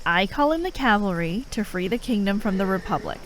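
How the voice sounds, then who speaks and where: A woman playing an exasperated, sharp-tongued but reluctantly caring enemy operative in a fantasy audio roleplay, sardonic, speaking in short phrases with pauses. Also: mid-range voice, lively intonation, a little hiss or hum, natural voice, poor audio. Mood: reasoning, grave. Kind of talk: fantasy audio roleplay acting, addressed to a listener.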